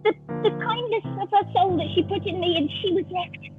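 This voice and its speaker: A woman playing an earnest, confused eternal sheep in improvised comedy, talking haltingly over a video call. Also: extremely high pitch, over music. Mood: urgent. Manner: halting, stammers. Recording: tinny video call audio.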